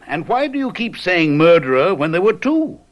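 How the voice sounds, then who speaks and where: An actor playing a confident, theatrical detective in a radio mystery drama, speaking in a deliberate, emphatic, urbane way. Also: male voice, high voice, very animated. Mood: assured, sly. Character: confident, theatrical male detective lecturing his companions.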